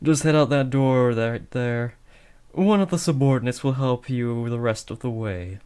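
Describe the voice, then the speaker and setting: A man's voice: medium pitch, normal speed, darkish tone, smooth, lightly acted. A young man acting an awkward, earnest teenage boy who is trying to be a supervillain, hesitant and often correcting himself, in a comedic audio roleplay. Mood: awkwardly reassuring.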